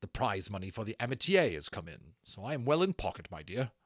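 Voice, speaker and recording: A man's voice: fairly low pitch, sing-song, brisk, no room sound, studio quality. A man voicing a courteous, affectionate naval officer in an audiobook, with a formal, gracious, old-fashioned manner. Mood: fond. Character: courteous, affectionate naval gentleman turned dragon aviator.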